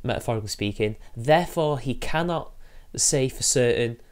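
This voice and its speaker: A man talking casually on a live stream, with a middling pitch and a sniffle from allergies. He rambles from point to point and talks straight to his viewers between thoughts. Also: expressive, normal speed, clear, resonant tone. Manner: rambling, talks to chat while fiddling with software.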